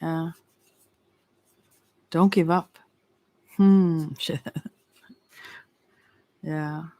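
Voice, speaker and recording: A tarot reader giving an informal ASMR reading in a hesitant, rambling way with many pauses. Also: mid-range voice, steady tone, mellow, no echo. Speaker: Ayurveda therapist.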